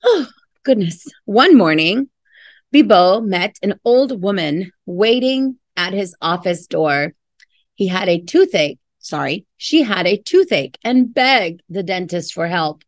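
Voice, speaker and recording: Unhurried middle-aged woman reading a storybook. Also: mid-range voice, expressive, no room sound, clean studio sound. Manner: friendly direct address to young listeners. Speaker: teacher reading a picture book aloud. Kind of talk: storybook read-aloud with chatty asides. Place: indoors in a classroom.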